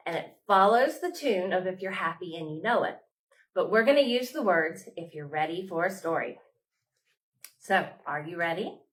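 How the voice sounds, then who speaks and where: A woman's voice: medium pitch, fairly bright tone, distant mic. A woman hosting storytime as a children's librarian, reading aloud and talking to small children in simple short phrases.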